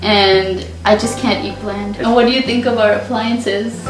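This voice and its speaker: A woman co-hosting a vlog, chatting informally to viewers in an expressive, emphatic way. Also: medium pitch, measured pace. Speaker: video co-host. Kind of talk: casual chat addressed to viewers, switching languages. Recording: echoey room, distant mic, faint music.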